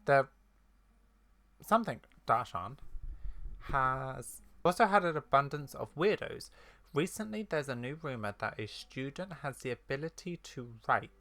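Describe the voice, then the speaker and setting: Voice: medium pitch, very animated, measured pace, fairly bright tone. A gaming streamer giving casual commentary, reading game text aloud and musing to themselves. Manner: reacts aloud to the game, muses to self.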